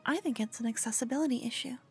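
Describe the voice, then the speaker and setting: A woman's voice: fairly high pitch, rapid-fire, faint music behind, lightly acted. A woman voicing a cute, obsessed human fangirl in an ASMR roleplay, stammering, flustered and brazen, often slipping toward a whisper. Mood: nervous, defiant. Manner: stammering, breathy, dropping into whispers. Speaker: ASMR voice performer.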